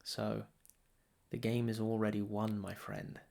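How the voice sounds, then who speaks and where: A man teaches meditation in a soothing, gravelly voice that stays very even in pitch. He moves very slowly and deliberately, setting down short phrases with long pauses between them. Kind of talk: guided meditation.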